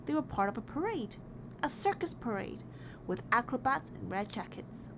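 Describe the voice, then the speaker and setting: A woman reading a children's picture book aloud in an expressive, deliberate storytelling way. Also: a little high. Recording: clearly noisy background.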